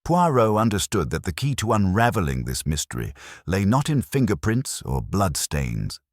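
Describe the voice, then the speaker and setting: Man, audiobook mystery narration, very low voice, dry close sound, formal and measured delivery. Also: no room sound. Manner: formal, measured literary reading. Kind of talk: scripted mystery story narration.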